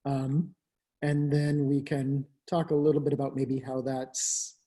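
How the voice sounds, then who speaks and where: A webinar host speaks on a video call with a mellow, warm-toned voice. The host asks questions in a loose, casual way. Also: mid-range voice, little pitch movement, measured pace. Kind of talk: webinar interview.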